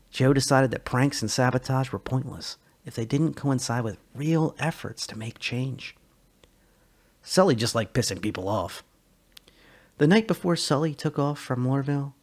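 A man reading a short story aloud as narrator, with theatrical, emphatic phrasing and the odd stumble. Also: mid-range voice.

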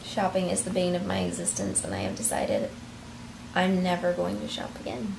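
A woman's voice: lowish voice, very animated, normal speed, creaky, background noise. A woman in her thirties talking casually to camera, emphatic and exasperated. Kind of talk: casual vlog chat.

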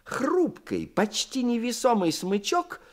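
An actor playing a passionate, exacting old violin teacher in a Soviet radio drama, theatrical and emphatic, with a husky voice. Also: male voice, very high voice, sing-song, takes it slowly, heavily acted. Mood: rapt, instructive.

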